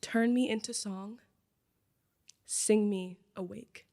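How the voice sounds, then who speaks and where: Slow, deliberate teen girl reading a poem. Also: mid-range voice, fairly even intonation, mellow. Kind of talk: poetry reading.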